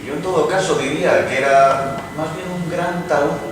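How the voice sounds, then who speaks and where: A man's voice: on the high side, fairly even intonation, moderate pace, lightly acted. A man playing a witty, opinionated poet in a dramatized reading, wry and theatrical. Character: witty, opinionated male English poet among literary friends. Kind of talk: dramatized reading of a literary debate. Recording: very echoey distant room, clear noise.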